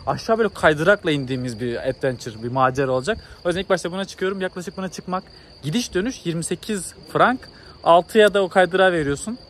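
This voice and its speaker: Fast-talking, higher-pitched young man vlogging outdoors. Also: lively intonation. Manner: enthusiastic, informal, exclamatory. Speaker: travel vlogger. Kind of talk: casual chat to camera. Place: outdoors in a mountain village and hillside. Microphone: phone at arm's length. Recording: outdoor phone audio with ambient noise.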